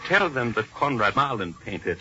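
An actor playing a scheming exiled portrait painter who blackmails with formal, courtly manners, in a 1940s radio drama style. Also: male voice, mid-range voice, big pitch swings, moderate pace, bright, forceful tone. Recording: muffled old radio broadcast with faint hiss.

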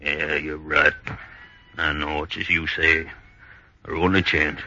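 An actor playing a weary, fearful convict in the jungle, complaining fatalistically in a 1940s radio drama. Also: male voice, a bass voice, flat delivery, a little slow, sharp tone, full character voice. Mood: weary, doubtful. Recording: dull, noisy old radio recording.

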